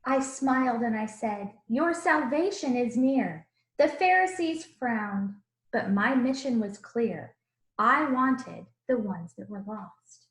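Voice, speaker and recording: A woman voicing a gentle, earnest Jesus who tells his own storybook tale in rhythmic rhyme. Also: on the high side, expressive, takes it slowly. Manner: rhythmic rhyming storybook delivery. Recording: roomy, echoing room sound.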